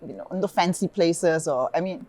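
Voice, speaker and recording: A woman's voice: fairly low pitch, fairly quick, darkish tone. A woman hosting an interview in a conference studio, asking questions in an effusive, eager, chatty way. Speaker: interviewer and event founder.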